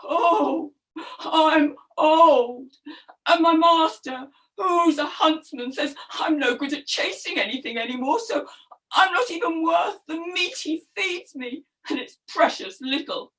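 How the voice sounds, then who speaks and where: A woman's voice: sits high, slow pace, a bit bright, distant mic, low-quality recording. A woman voicing a downcast old male dog in a children's story, mournful and grumbling. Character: old male hunting dog, downcast, hard on his luck.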